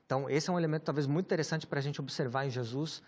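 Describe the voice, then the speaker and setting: A man with a Brazilian Portuguese accent, a pastor teaching about the Bible in a measured, explanatory way, often ending phrases with a questioning tag. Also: a little high, darkish tone. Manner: measured, explanatory, with many rhetorical tags.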